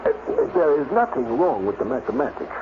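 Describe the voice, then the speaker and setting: A man's voice: medium pitch, big pitch swings, fairly quick, close to an everyday voice. A male actor plays an ambitious, wry mathematician in 1950s radio science fiction. His mid-range voice moves up and down freely. The recording is tinny and noisy. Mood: businesslike. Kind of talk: 1950s radio drama acting.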